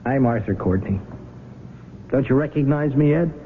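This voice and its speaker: An actor playing a cornered, bitter male schemer in an excitable 1970s radio drama style. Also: medium pitch, normal speed, lightly acted. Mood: cornered, pleading. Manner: excitable, stammering under pressure. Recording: tinny old radio broadcast, faint noise.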